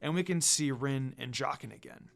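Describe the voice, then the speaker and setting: A man voicing a gentle, devoted male demon lover in a romance story, hushed and tender. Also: medium pitch, moderate pace, gravelly, voice pushed down, close to an everyday voice. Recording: music under the voice.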